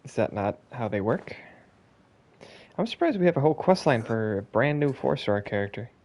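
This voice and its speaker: A woman's voice: rumbling low, lively intonation, moderate pace, a little mellow. A woman streaming a video game, giving casual, offhand and sarcastic reactions as she plays. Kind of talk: casual live gameplay reactions. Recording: faint game music behind.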